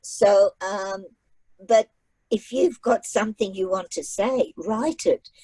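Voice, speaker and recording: A chatty woman author talks over a video call, her voice mellow and a little husky. She learned English as a second language and leans on repeated phrases for emphasis. The sound is dry and close. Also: medium pitch, takes it slowly, no room sound, low-quality recording. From migrated to Australia at age nine. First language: not English. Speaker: author and guest speaker. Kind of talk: casual online library talk.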